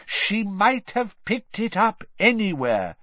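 A man voicing a kind, reasonable middle-aged wizard who speaks calmly and patiently. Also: high voice, takes it slowly, no echo, dull audio, voice pushed up, full character voice. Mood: calm reasoning. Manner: calm, patient, gently persuasive.

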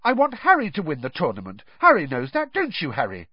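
A man voicing an indignant, bookish teenage girl who talks fast and shrilly when outraged. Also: a little high, huge pitch swings, slightly rough, no echo, dull audio, voice pushed up, exaggerated voice. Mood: indignant, shrill.

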